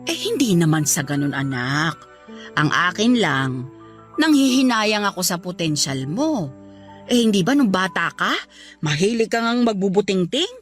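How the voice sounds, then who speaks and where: A woman's voice: on the low side, very animated, normal speed, faint music behind. A woman playing a blunt but caring Filipina grandmother and mother, scolding and exclamatory, in a Tagalog radio drama. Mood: urging, concerned. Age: older.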